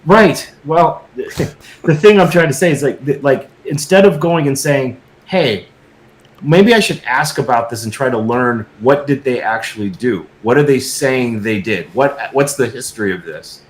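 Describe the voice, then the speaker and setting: A man talking casually as a guest, halting and repeating himself insistently as he seeks agreement, with an echoey, roomy sound. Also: mid-range voice, lively intonation. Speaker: guest filmmaker. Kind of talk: casual unscripted discussion.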